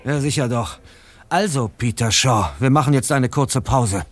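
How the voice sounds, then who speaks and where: A man's voice: medium pitch, measured pace, lightly acted. An actor playing a male police officer in a radio play, speaking firmly to a suspect. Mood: stern.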